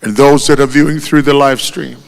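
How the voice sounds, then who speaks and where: A man with a Tongan accent, whose first language is Tongan, delivering a formal funeral eulogy in a solemn, measured way and lifting his voice on each name. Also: medium pitch, steady tone, creaky. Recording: long hall reverb.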